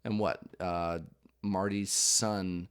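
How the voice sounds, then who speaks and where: Podcast co-host, lowish voice, loud and lively, close on the mic. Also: male voice, takes it slowly, clear, resonant tone. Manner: loud, animated storyteller. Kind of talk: casual chat. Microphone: close mic he leans into.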